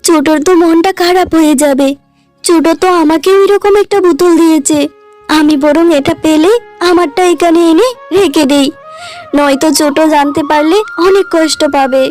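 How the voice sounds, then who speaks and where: A woman voicing a kind, forgiving elder sister-in-law with a gentle, considerate manner in a cartoon. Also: high voice, moderate pace, faint music behind, lightly acted. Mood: caring, worried. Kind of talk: cartoon dialogue.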